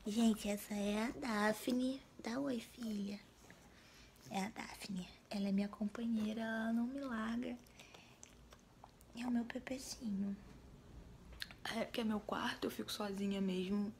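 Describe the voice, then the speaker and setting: A woman in her twenties with a Brazilian Portuguese accent, hoarse from a cold, chatting casually to the camera in a vlog and often dropping into a breathy half-whisper. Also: a little high, a little hiss or hum. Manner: relaxed, chatty, drawn-out words. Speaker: vlogger. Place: small bedroom indoors. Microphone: phone or webcam at arm's length.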